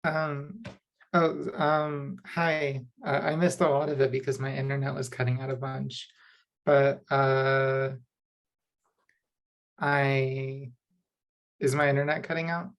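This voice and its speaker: A woman's voice: extremely low pitch, little pitch movement, takes it slowly, pauses often. A young woman, a design student, introducing herself on a video call in a rambling, self-correcting way.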